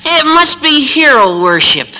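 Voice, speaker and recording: Gawky teen boy on old radio comedy, high bright voice, earnest and a bit cocky, slangy. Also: sing-song, unhurried, heavily acted, rough recording. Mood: earnest, sheepish. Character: cocky, gawky teenage schoolboy. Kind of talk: classic radio sitcom acting.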